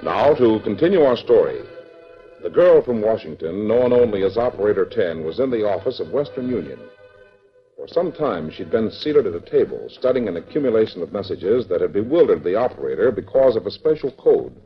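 A man's voice: lowish voice, very animated, fairly quick, faint music behind. A man narrating a western serial dramatically in 1940s radio announcer style. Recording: muffled vintage radio recording.

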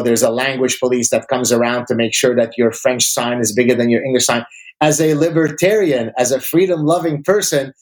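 A man's voice: medium pitch, a little mellow, low-quality recording. A man from Lebanon whose first language is Arabic, giving emphatic, articulate opinions in formal vocabulary as an academic interview guest.

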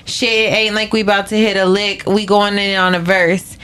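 A woman's voice: medium pitch, flat delivery, moderate pace, a bit bright, clean tone. A woman co-host reading viewer donation messages aloud in a plain reading voice.

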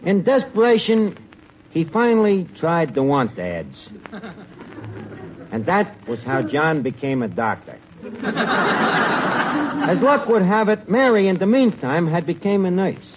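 A dull old radio recording with faint noise. A man narrates a comedy sketch in mock-serious scripted style, high-voiced and swooping as host. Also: sing-song, unhurried, long pauses.

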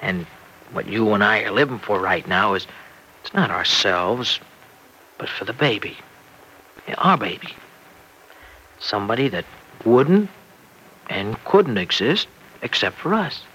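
An actor playing an earnest, anxious young farmer husband in an old-time radio drama, with a halting, tender way of talking. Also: male voice, on the low side, animated, pushed and bright. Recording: noisy vintage radio recording.

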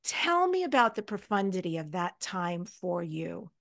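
A woman's voice: fairly low pitch, sing-song, unhurried, a bit bright. A woman hosting a podcast interview in a conversational, effusive and emphatic way.

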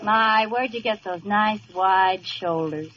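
A woman's voice: medium pitch, takes it slowly, natural voice. Flirtatious gangster's moll, acted by a woman, sardonic slang, brassy, vintage radio comedy, dull sound, heavy noise. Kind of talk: 1940s-50s radio comedy acting. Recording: muffled old radio recording, loud hiss.

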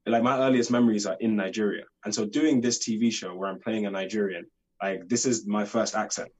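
Young London man, low husky voice, rapid chatty delivery, filler-heavy. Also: fairly even intonation. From United Kingdom. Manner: chatty, fills talk with "like", eager. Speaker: guest actor. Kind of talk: casual interview chat.

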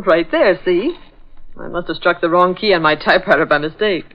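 Actress as polite secretary, muffled old radio. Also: female voice, fairly low pitch, moderate pace, natural voice. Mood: polite, apologetic. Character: polite, dutiful female office secretary. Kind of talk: 1940s radio drama acting. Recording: dull old radio recording.

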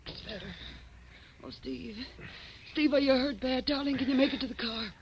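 A woman's voice: high voice, moderate pace, dull audio. A woman playing a lovesick, dangerous femme fatale with intense 1940s radio melodrama delivery. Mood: anguished. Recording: noisy old radio recording.

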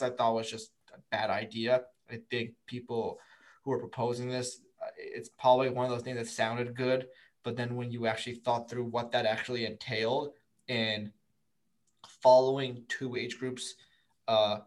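A man's voice: mid-range voice, a bit bright. A man speaking as a guest on a podcast, thinking aloud with frequent restarts. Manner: thoughtful, lots of fillers and restarts. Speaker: guest, prospect writer. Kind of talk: casual podcast interview.

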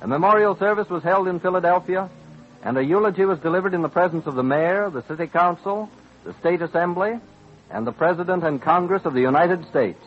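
High-voiced, solemn male radio narrator. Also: fairly quick. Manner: solemn, formal, declamatory. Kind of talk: 1930s-40s radio scripted narration. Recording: dull old radio recording with music.

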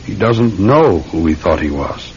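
An actor playing a measured, dry London police sergeant in a classic radio drama. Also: male voice, big pitch swings, a little mellow. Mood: grave, reporting. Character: weary, methodical London police sergeant, a patient uncle. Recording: dull, rumbling, noisy old radio recording.

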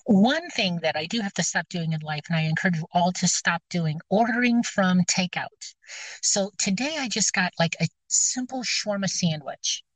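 A woman's voice: fairly low pitch, lively intonation, moderate pace, no echo. A woman with a scratchy voice chatting casually, rambling and hesitant with many fillers and restarts. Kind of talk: casual chat. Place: outdoors, near her car.

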